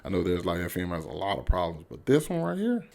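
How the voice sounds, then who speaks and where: A man's voice: deep voice, huge pitch swings, quick pace, gravelly, darkish tone, a little hiss or hum. An American man giving a casual, unscripted movie review to viewers, enthusiastic and slangy, repeating phrases for emphasis.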